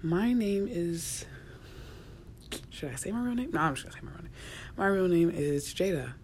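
A young woman, a college student, rambling in a casual solo podcast, chatty and often dropping to a near whisper, recorded in a quiet university office. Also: fairly low pitch, expressive, moderate pace, slight background noise. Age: young adult. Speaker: podcast host.

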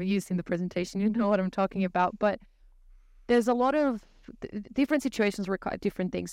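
A woman's voice: mid-range voice, gravelly, darkish tone, clear, resonant tone, dry recording. A woman with a Brazilian accent and native Portuguese, explaining things conversationally as a podcast guest.

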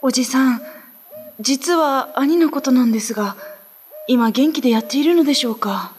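A woman's voice: high voice, normal speed, slight background noise, natural voice. A woman playing an anxious, polite young sister searching for her brother in a horror radio drama. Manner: polite, pleading, hesitant.

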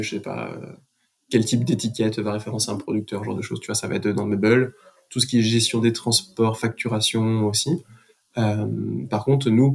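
A man's voice: lowish voice, vocal fry. A man, a podcast guest, explaining things in casual conversation. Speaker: podcast guest, business manager.

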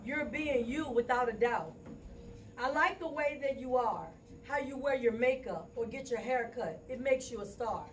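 A woman's voice: fairly high pitch, normal speed, recorded from a distance, slight background noise. A woman performing spoken word poetry live, in a rhythmic, rhyming recitation.

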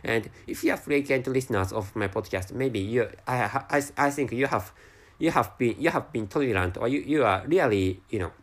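A man with a Japanese accent, a native Japanese speaker, talking alone on his podcast in slow, halting English full of restarts and repeated words. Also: medium pitch, expressive. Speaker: podcast host. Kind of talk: casual solo podcast monologue.

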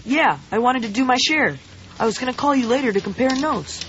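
A woman's voice: fairly high pitch, expressive, a little fast, a bit bright, slight background noise. A woman voicing an awkward, self-conscious schoolboy who stammers, in a radio drama. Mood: earnest. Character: nervous, awkward popular schoolboy. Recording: slightly dull radio drama recording.

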